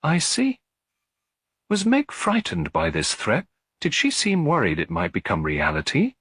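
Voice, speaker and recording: A man voicing a kindly elderly lady detective, gentle, reassuring and politely inquisitive, in a storybook reading. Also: a little high, wildly swooping pitch, measured pace, breathy edge, dry recording, studio quality, pitched above the performer's own voice, full character voice. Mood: gently probing.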